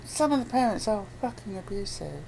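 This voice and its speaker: A British woman chatting casually as an interviewee, giving a rambling, halting and blunt account. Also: mid-range voice, very animated, gravelly, dark tone, a little hiss or hum, poor audio. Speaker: interviewee, abuse survivor campaigner.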